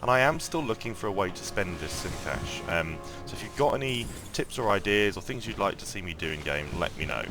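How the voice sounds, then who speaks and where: A man with a croaky morning voice giving casual gameplay commentary, thinking aloud and rambling with lots of ums and restarts. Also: on the low side, animated, a little fast, hardly any pauses, music in the background. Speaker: mobile game let's-play host.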